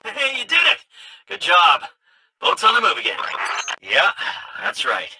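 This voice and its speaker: A man playing an excitable, cheeky game sidekick and guide who talks casually and stammers when flustered. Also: fairly high pitch, a little slow, a little hiss or hum, full character voice, low-quality recording. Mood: excited, flustered. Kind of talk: video game character dialogue.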